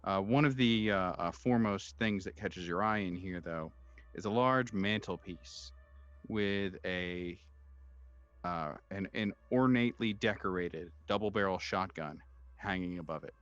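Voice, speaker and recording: A man running a tabletop horror game, improvising his narration in halting, hesitant phrases as game master. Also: deep voice, fairly bright tone, highs cut off. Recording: music behind the voice. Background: background music.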